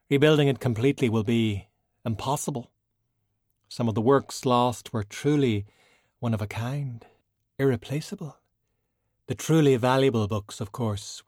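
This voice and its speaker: A man voicing a poised, beautiful woman in an audiobook, speaking in measured, formal phrases with proud, wry self-pity. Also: medium pitch, a little mellow, no echo, studio quality, lightly acted. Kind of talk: audiobook character dialogue.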